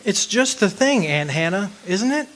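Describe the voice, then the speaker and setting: A man's voice: sits high, big pitch swings, normal speed, fairly bright tone. A man playing a shy, bashful young man in love, hesitant in his talk, in old-time radio drama style. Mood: flustered. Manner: stammering, hesitant.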